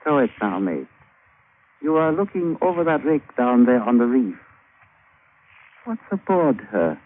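A man's voice: medium pitch, sing-song, phone-quality sound. An actor playing a sly, jovial, scheming island witch doctor who teases in broken English in 1950s radio drama style. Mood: sly, casual. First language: a language of Papua. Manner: broken English, teasing, unhurried. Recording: thin, hissy old radio broadcast.

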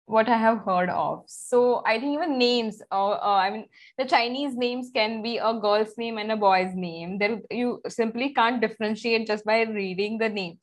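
A woman, hosting a podcast and interviewing her guest in a conversational style. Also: fairly high pitch. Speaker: podcast host.

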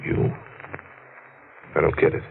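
A man's voice: slow pace, close to an everyday voice. A man acting a wry, skeptical, streetwise investigator in a 1940s radio mystery, with clipped, slangy tough-guy delivery. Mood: brisk, puzzled. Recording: noisy, narrow-band old radio recording.

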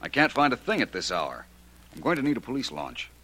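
An actor playing an assured, decisive private detective in a 1940s radio drama. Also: male voice, mid-range voice, very animated, brisk, bright, forceful tone, heavily acted. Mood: businesslike. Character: composed, take-charge male private detective.

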